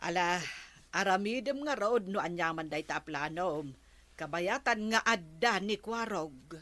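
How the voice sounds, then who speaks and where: A woman's voice: lowish voice, lively intonation, a little slow, a bit bright. A woman with an Ilocano accent playing an inquisitive village confidante in a radio comedy drama. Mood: curious.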